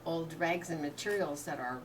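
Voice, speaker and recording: A woman's voice: sits low, normal speed. Brief: woman student in an art workshop, chatty, distant with audible hiss. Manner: chatty, finishes the teacher's sentences. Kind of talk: casual chat in class. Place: indoor workshop room. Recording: distant mic with clear hiss.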